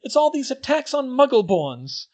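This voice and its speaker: A man voicing an earnest, anxious teenage schoolgirl witch in a high, pleading voice. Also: sing-song, moderate pace, a little mellow. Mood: frightened, pleading. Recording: dull lo-fi recording.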